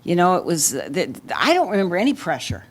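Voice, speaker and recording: Older woman with a lowish voice. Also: very animated, fast talker. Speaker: former child radio actor interviewed. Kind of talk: reminiscing interview.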